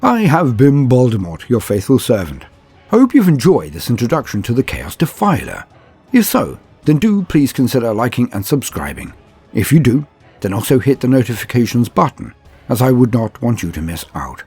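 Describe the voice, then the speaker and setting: Theatrical male narrator, husky, very varied pitch. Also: wildly swooping pitch, moderate pace, darkish tone, soft background music. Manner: theatrical, florid, formal phrasing. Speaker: host and narrator of a tabletop wargame lore channel. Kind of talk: scripted lore narration.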